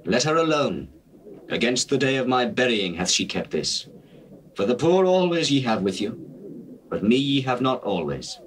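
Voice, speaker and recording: An actor playing Jesus as a solemn, authoritative teacher, measured and declamatory, in a dramatized scripture reading. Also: male voice, medium pitch, very animated, lightly acted. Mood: firm. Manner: measured, declamatory, archaic diction. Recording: noisy old cassette tape.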